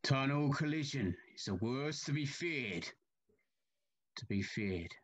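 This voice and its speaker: Slow-spoken, troubled railway signalman. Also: mid-range voice, expressive, heavy background noise. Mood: grave, uneasy. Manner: slow, halting, deferential, uneasy. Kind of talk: audio drama acting.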